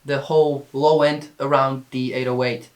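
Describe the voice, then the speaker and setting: A man's voice: medium pitch, level tone, moderate pace. A man who produces music, explaining a track in a casual tutorial style. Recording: music playing behind the voice.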